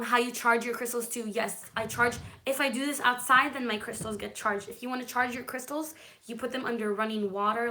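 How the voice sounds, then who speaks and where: A chatty woman from California, a native Hebrew speaker, hosting a relaxed live stream. She answers viewer comments in a reassuring tone and keeps checking in with her audience. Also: a little high, rough recording. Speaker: livestream host.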